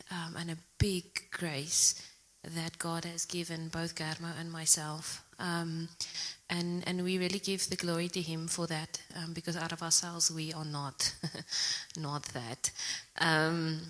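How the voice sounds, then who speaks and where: A young woman from Zimbabwe sharing a personal testimony at church, earnest and soft-spoken, with many pauses and "um"s. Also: on the low side, level tone. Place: church hall. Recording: long reverb of a church hall.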